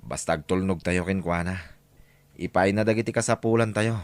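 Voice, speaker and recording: A man's voice: low voice, brisk, natural voice. An actor playing a poor, hardworking father who speaks meekly and deferentially. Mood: humble. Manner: meek, deferential. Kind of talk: radio drama acting.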